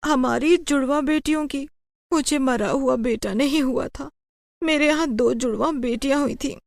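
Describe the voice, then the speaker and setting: Lady doing a scared guilty wife in a story, high pleading voice, confessing, dry sound. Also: normal speed, creaky, no echo. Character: guilt-ridden, frightened adult wife and mother confessing.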